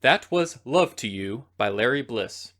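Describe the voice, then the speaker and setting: A male announcer with a bright, forceful tone and a mid-range pitch. He delivers scripted credits in a clear announcing style. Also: lively intonation, unhurried.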